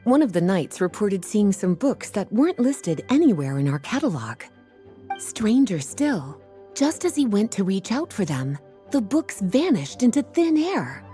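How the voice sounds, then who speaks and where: Mellow, teasing woman librarian mage. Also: mid-range voice, sing-song, measured pace, soft background music. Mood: musing, intrigued. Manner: teasing, leisurely storytelling. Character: teasing, unhurried adult woman librarian mage, playful and knowing. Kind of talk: video game voice acting.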